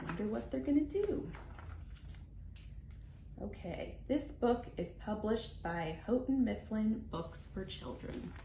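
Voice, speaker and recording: A woman's voice: mid-range voice, animated, takes it slowly, creaky, warm tone. A woman hosting children's storytime, reading a picture book expressively in simple phrases aimed at small children. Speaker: children's library storytime host. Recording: echoey room, background noise.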